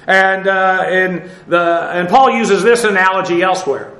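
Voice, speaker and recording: A man with a notably high voice teaches through a sermon verse by verse, pausing often on fillers and restarting his phrases. He is recorded in a reverberant church hall. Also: bright, forceful tone. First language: English. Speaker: pastor. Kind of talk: expository sermon. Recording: echoey room.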